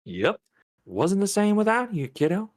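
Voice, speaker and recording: A woman's voice: medium pitch, big pitch swings, measured pace, darkish tone, no echo, studio quality. A woman playing a goofy, cheerful, doting father in a screenplay table read, laid-back and jokey. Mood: warm, easygoing.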